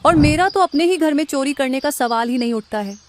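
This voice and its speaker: A woman's voice: high voice, lively intonation, brisk. A woman playing a merchant's wife in a cartoon, questioning and placating, with faint noise behind. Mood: alarmed, defensive. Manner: questioning, protesting. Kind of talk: Hindi cartoon story voice acting.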